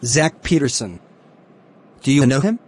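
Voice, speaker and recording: A man playing a courteous, easygoing young film star in a scripted cartoon dialogue for English learners, speaking in a deliberate way. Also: mid-range voice, lively intonation, darkish tone, husky. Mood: friendly, casual.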